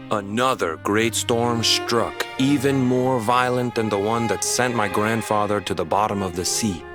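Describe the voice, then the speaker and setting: A young island fisherman, played by a man in a video game. His voice is fairly low, with a colloquial, earnest storyteller's delivery that carries some burden. Music runs behind his words. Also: lightly acted. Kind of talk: video game character acting.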